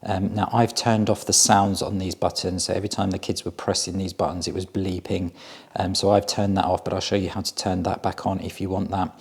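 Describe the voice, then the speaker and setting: A man's voice: low voice, flat delivery, fairly quick, breathy edge. A man giving a casual hands-on product review, explaining each step in a plain-spoken way.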